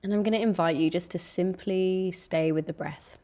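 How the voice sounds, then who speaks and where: A woman leading a guided meditation in a soothing, gentle, unhurried way, leaving long pauses between phrases. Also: on the low side, steady tone.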